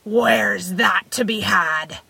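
A woman voicing a hungry, irritable male wolf in a storybook tale, in a demanding, drawn-out way. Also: medium pitch, gravelly, pushed and bright, slightly rough, no room sound, full character voice. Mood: greedy.

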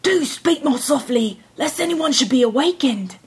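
A pair of furtive grown men plotting in hushed, nervous voices, as a woman reading a storybook puts them on. Their talk stays rather high and lively. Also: normal speed, husky, heavily acted. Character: two furtive adult male thieves.

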